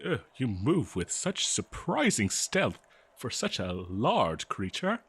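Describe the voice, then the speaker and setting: A man playing a courteous, eager and nervous scholar in a fantasy audio roleplay, speaking politely and haltingly to the listener. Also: mid-range voice, wildly swooping pitch, a bit bright, slightly rough, heavily acted. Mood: startled, nervous. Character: eager, nervous, courteous male human professor of ancient civilizations.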